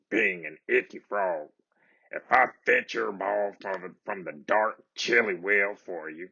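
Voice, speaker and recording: A man voicing a timid, lonely little frog with a scratchy, croaking voice. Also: low voice, sharp tone, heavily acted. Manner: croaks "ribbit" before speaking. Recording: dull lo-fi recording.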